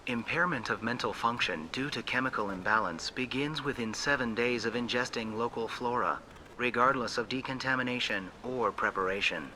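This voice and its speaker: A starship beacon computer in a sci-fi game, with a very bright, sharp voice of medium pitch. It recites logs formally and without emotion, over faint noise. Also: male voice, moderate pace, rough recording. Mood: impassive, clinical. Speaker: automated emergency beacon. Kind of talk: automated log readout.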